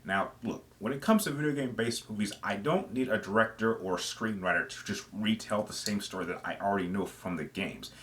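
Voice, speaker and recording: A man's voice: on the low side, brisk, few pauses, a bit bright. A man giving casual, semi-scripted commentary as the host of a gaming video, explaining his opinions conversationally and stressing phrases for emphasis.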